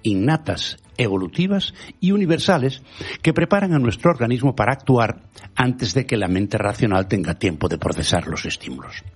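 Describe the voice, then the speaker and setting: Galician-native guy hosting radio, reads his essay with lots of swing in his pitch, kinda husky, soft music under it. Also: lively intonation. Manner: measured, rhetorical, quotes proverbs and thinkers. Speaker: radio show host. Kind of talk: scripted editorial reading.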